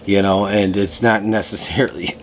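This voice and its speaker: A man giving a casual tarot reading to camera, slightly hoarse from a lingering cough, talking in a loose way with many fillers. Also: on the low side, normal speed, a little hiss or hum. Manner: relaxed, loose, full of fillers and restarts. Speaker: tarot reader. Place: room at home.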